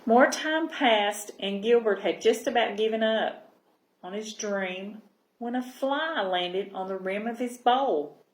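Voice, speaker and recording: A woman reading a picture book aloud to young children as a library storyteller, in an expressive manner. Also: fairly high pitch. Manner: expressive, pausing to check understanding with listeners. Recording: roomy, echoing sound.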